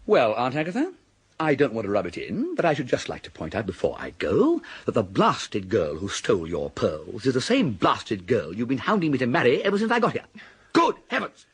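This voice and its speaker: Radio comedy: upper-class English young fop, quick, lively, slightly husky, self-righteous blither. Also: male voice, medium pitch, exaggerated voice. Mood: triumphant scolding. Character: foppish, cheerfully indignant upper-class young English gentleman. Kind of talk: broadcast radio comedy acting.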